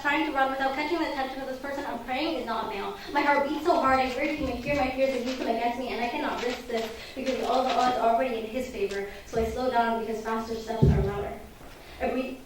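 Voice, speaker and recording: A young woman reciting spoken word poetry in a dramatic, impassioned way. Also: high voice. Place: event hall before an audience. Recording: echoey hall, distant mic, faint noise.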